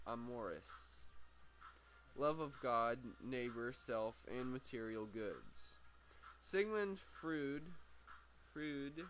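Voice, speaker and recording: A man's voice: mid-range voice, a bit bright. Man reading aloud, stumbling over words, noisy background. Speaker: amateur audiobook reader. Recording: amateur recording with clear background noise.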